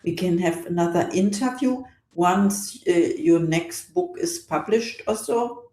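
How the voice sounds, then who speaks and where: A woman's voice: lowish voice, a little slow, dark tone. A woman hosting a podcast, talking casually with guests and offering encouraging compliments. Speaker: podcast host. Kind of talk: casual interview conversation. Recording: roomy echo.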